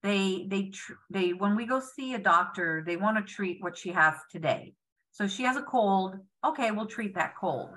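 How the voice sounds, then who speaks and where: Woman in her 50s on a webcam call from home, bright clear tone, earnest and a bit halting with lots of ums. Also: mid-range voice, low-quality recording. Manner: earnest, with many fillers and restarts. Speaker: patient foundation president. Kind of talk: video call panel speaking. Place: home room indoors. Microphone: webcam on video call.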